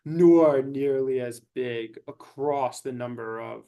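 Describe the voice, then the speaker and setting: A man giving expert analysis in an interview, explaining in long, measured sentences. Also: mid-range voice, mellow. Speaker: expert commentator.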